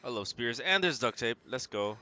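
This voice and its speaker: Bright-voiced man commentating his gaming. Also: mid-range voice, lively intonation, normal speed, a little hiss or hum. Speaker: streamer playing a survival video game. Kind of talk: casual gameplay commentary to himself.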